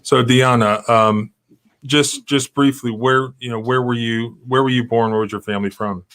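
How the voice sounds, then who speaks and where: A man's voice: mid-range voice, fairly even intonation, moderate pace, fairly bright tone. A middle-aged man hosting a live stream, talking in a rambling, emphatic way with many restarts. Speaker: civil rights lawyer hosting a live stream. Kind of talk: casual live-stream commentary.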